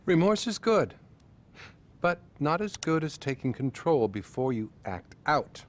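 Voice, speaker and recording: A man playing a patronizing, self-serving therapist in a video game, speaking in a measured way with feigned concern. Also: fairly high pitch, sing-song. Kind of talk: video game cutscene acting.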